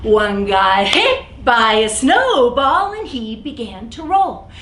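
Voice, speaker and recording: Animated high-pitched American woman, children's story time. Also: takes it slowly. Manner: animated, simple explanations addressed to children. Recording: roomy echo, some background noise.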